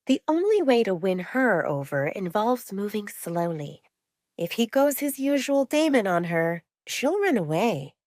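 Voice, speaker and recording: A woman narrator plays a young female werewolf in a romance audiobook. She is sure of herself, doubting and opinionated, with animated pitch and a dry, close sound. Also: a little slow, no echo. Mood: confident. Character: confident, skeptical young female werewolf pack member. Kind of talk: audiobook romance drama acting.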